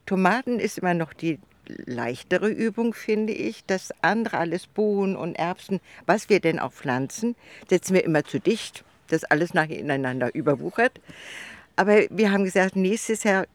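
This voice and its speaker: A retired woman in her seventies from northern Germany answers interview questions in a soft-spoken, unhurried way, pausing now and then. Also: fairly low pitch, animated, no room sound. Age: 70s. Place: outdoors in a city allotment garden.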